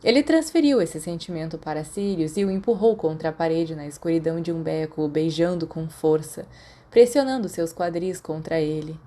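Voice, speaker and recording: A woman's voice: low voice, moderate pace, mellow. A woman with a Brazilian accent narrating scripted fiction as an audiobook reader.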